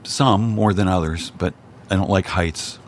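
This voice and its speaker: A man's voice: deep voice, fairly even intonation, unhurried. A man from Cincinnati, a guest in casual chat, joking in a dry, self-deprecating way. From Cincinnati, Ohio.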